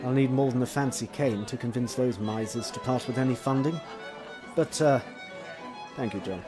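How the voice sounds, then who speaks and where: Actor as a driven Victorian gentleman scientist, earnest and intense. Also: mid-range voice, moderate pace, over music, natural voice. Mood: wry, grateful. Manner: formal, intense, rhetorical. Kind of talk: theatrical period audio drama acting.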